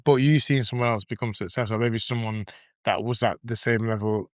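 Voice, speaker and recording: Relaxed Mancunian man, dry podcast mic. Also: lowish voice, brisk, no echo. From Manchester. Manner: relaxed storyteller, lots of "yeah" backchannel. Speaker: podcast co-host. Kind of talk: casual podcast chat.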